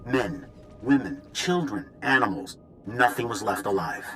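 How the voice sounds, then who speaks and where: An actor playing a grave, urgent military intelligence director in a video game, briefing in a clipped, earnest way. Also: male voice, mid-range voice, animated, measured pace, a bit bright. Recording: dramatic music underneath.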